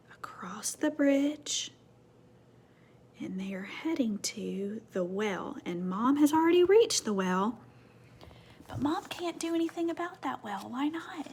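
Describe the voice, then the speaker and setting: A woman reading a story aloud to children, teacherly, asking questions and dropping into hushed asides. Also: fairly high pitch, slight background noise. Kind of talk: animated read-aloud with asides to young listeners.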